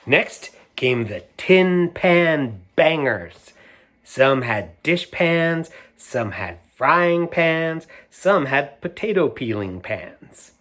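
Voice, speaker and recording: A middle-aged man reading a picture book aloud to children, gentle and unhurried, stopping to show the pictures. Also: on the high side, big pitch swings. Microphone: camera mic, moving toward it to show pages.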